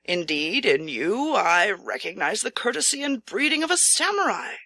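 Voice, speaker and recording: Disguised nobleman as wandering priest, audiobook female reader: earnest, courteous, bright voice, dry recording. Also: mid-range voice, very animated, normal speed, no room sound, heavily acted. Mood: earnest, insistent. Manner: earnest, kindly, formal old-fashioned speech. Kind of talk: audiobook character acting.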